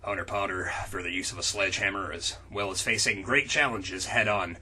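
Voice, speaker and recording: A man's voice: low-pitched, steady tone, a little fast, bright, forceful tone, breathy edge, pitched below the performer's own voice, full character voice. A man voicing a stately wrestler-turned-wizard headmaster handing out awards in a comic reading. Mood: stately announcing.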